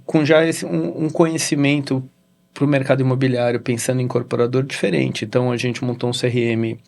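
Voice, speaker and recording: A middle-aged Brazilian man with a Brazilian Portuguese accent, a guest in a casual interview, telling a story in halting sentences with frequent "né?" tags. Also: mid-range voice, little pitch movement, gravelly, a little mellow. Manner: halting, with many restarts and "né?" tags. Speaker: interview guest, real estate executive.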